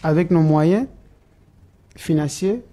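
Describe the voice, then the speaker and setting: Man, dark mellow voice, hesitant. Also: a little high, slow pace. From Democratic Republic of the Congo. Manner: hesitant, many fillers and restarts. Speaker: political supporter interviewed. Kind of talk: political interview.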